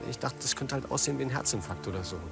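An actor playing an edgy, cornered suspect in a police drama, terse and insistent. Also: male voice, mid-range voice, rapid-fire, creaky, far from the mic, over music, close to an everyday voice. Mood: subdued.

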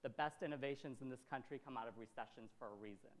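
A man's voice: mid-range voice, fast. A man in his thirties from Syria giving a motivational talk, emphatic, repeating phrases and checking in with short tags. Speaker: workshop speaker. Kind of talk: motivational presentation to an audience. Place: indoor event space, presenting in front of a backdrop.

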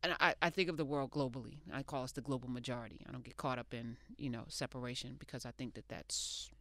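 A woman's voice: extremely low pitch, fairly even intonation, fast talker, bright, forceful tone, no room sound. Blunt American woman hosting radio. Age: middle-aged. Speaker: radio talk show host. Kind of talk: casual conversational interviewing. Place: small radio studio. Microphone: close studio microphone on boom arm.

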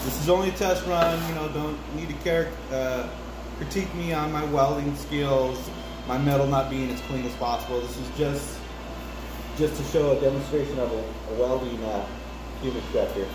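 A man's voice: mid-range voice, steady tone, noisy background. A middle-aged man talks through a welding test in his home garage, stopping often to hunt for words. He is recorded on a phone lying on the workbench, sometimes from a few steps away. The air conditioning hums faintly behind him. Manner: rambling, halting with many fillers. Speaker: hobby welder vlogger. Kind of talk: casual demonstration vlog. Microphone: phone camera set on the bench, sometimes far off.

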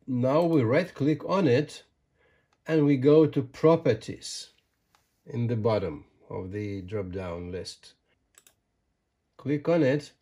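Male tutorial presenter, sixties. Slow, deliberate delivery with emphatic phrasing and a relaxed, mellow timbre. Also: medium pitch. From Lithuania. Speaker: ham radio tutorial presenter. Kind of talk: step-by-step tutorial explanation. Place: small home radio room, indoors.

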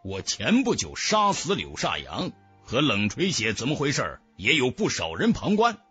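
A man's voice: mid-range voice, huge pitch swings, normal speed, a bit bright, slightly rough, soft background music, pitched below the performer's own voice, full character voice. A man voicing a defiant, mocking young martial cultivator in a fantasy audiobook, with cool, taunting delivery. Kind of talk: dramatic fantasy audiobook dialogue.

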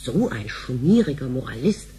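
An actress playing a middle-aged, sharp-tongued wife and mother in a sci-fi radio play, brisk and sardonic. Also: very deep voice, very animated, slight background noise. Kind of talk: radio drama acting.

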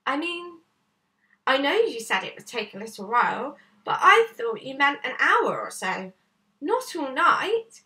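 Puzzled young storybook boy played by a woman: raised pitch, bright, hesitant, questioning. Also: big pitch swings, unhurried, exaggerated voice. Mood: anxious, questioning. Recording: slightly distant camera microphone.

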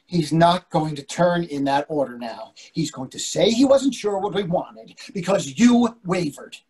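An older actor plays a grumbling, exasperated husband in a stage comedy. His voice is very husky and rough, and its pitch jumps around in lively fashion as he bluntly complains. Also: a little slow. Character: impatient, grumbling husband craving beer and food. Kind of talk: readers theatre comedy acting. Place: indoor room on a video call. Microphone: video call microphone.

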